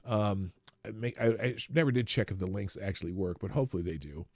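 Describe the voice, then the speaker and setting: A man hosting a live stream in casual solo commentary, sarcastic and animated, swearing and muttering to himself between points. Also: lowish voice, fast talker, no room sound, studio quality.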